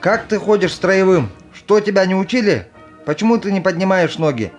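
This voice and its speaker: A man's voice: high-pitched, expressive, normal speed. A man in an audio play acting a stern young army commander who barks orders at recruits. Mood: scolding. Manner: barking, commanding. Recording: music under the voice.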